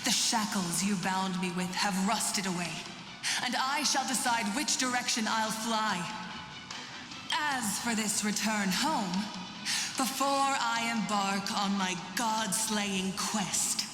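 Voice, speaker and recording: A woman voicing a proud, resolute exiled knight in a fantasy game, with measured, grand, declamatory delivery. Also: mid-range voice, natural voice. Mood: proud defiance. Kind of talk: dramatic fantasy game voice acting. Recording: long hall reverb with music.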